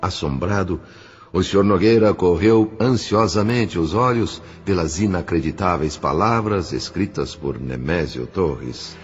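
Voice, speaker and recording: A man with a Brazilian accent narrating a scripted audio novel in a measured, solemn way. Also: deep voice. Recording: muffled, with music underneath.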